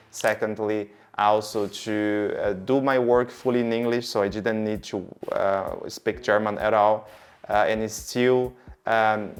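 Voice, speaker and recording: A man in his thirties from Brazil, giving long, earnest interview answers with many fillers. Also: fairly low pitch. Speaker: guest.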